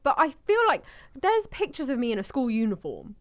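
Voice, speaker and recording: A woman's voice: high voice, very animated, a little fast. Rambling young woman co-host. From England. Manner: rambling, hedging storyteller. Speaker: podcast co-host. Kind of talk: casual chat.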